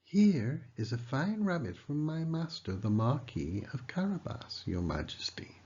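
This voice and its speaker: An eager, scheming storybook cat, played by a male narrator, coaxing and deferential. The voice is lifted well up and swings widely in pitch. The sound is slightly dull. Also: sing-song, unhurried, darkish tone. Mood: courtly. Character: clever talking cat, eager, courtly schemer. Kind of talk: children's storybook character reading.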